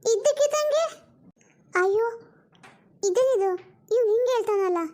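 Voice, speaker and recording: A woman's voice: very high-pitched, expressive, normal speed, warm tone. A woman voicing a suspicious, nosy, impatient young woman in a cartoon story, pressing others with accusing questions. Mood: shocked. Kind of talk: amateur cartoon story dialogue.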